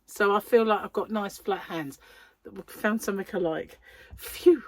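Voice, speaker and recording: A middle-aged British woman with a wheezy breath, chatting casually to camera as host of a crochet channel, self-deprecating and quick to laugh. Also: medium pitch, no echo. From United Kingdom.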